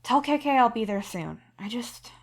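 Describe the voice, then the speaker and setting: A woman's voice: fairly high pitch, lively intonation, moderate pace, voice pushed up. A woman voicing a sleep-deprived young man, stubborn and devoted, with a tired, reassuring manner.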